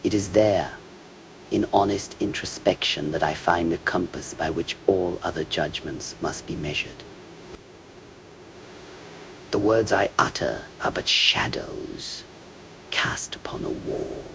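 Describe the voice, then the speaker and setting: A man speaks very slowly in a low, gravelly voice, as if in quiet meditation. His scripted phrases are measured and contemplative, often softening toward a whisper at the ends. A faint low noise sits under the voice. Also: rough recording. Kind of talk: slow meditative scripted monologue.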